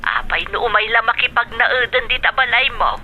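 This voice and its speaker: A woman playing a caring, concerned middle-aged older sister with a creaky voice in an Ilocano radio drama. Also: fairly high pitch, a little fast, pushed and bright, muffled recording, a little hiss or hum. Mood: warm, persuading.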